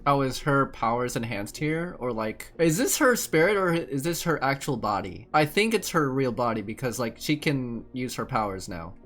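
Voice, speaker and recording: A man's voice: medium pitch, very animated, normal speed, hardly any pauses, fairly bright tone. A man giving casual, playful gaming commentary, reacting expressively as he plays. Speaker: gaming streamer. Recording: game music behind commentary.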